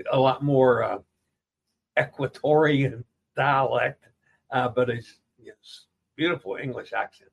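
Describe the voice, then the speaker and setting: A man's voice: medium pitch, a bit bright. A man hosting an interview podcast, chatty and joking, who often trips over his words. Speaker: podcast host.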